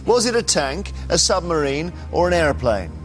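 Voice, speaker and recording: A man's voice: fairly high pitch, very animated, moderate pace, music in the background. A man hosting a TV quiz show, reading questions and teasing contestants with dry banter.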